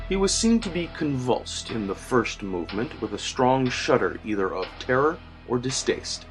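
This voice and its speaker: Theatrical male narrator over music. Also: fairly low pitch. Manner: theatrical, measured, formal. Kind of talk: dramatic scripted narration of gothic horror. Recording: music bed under narration. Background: background music.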